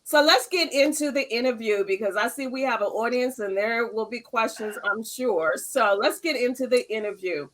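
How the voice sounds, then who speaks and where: A woman in her fifties with a somewhat high voice hosts a conversational interview. She is affirming and emphatic, often repeating a phrase to underline it. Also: normal speed, few pauses, low-quality recording. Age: 50s. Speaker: interview host. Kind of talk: conversational online interview hosting.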